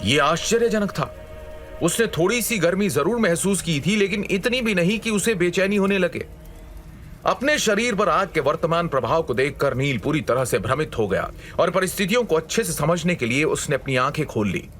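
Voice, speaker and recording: A man's voice: fairly high pitch, animated, moderate pace, fairly bright tone, a little hiss or hum. A man narrating a scripted dramatic story as a storyteller, in a suspenseful manner.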